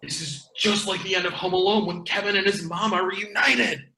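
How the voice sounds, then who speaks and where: A man's voice: high voice, steady tone, normal speed, creaky, pushed and bright, heavily acted, poor audio. An actor playing a meek, flippant video store guy, glib and deferential, in a comedy table read. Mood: puzzled, casual.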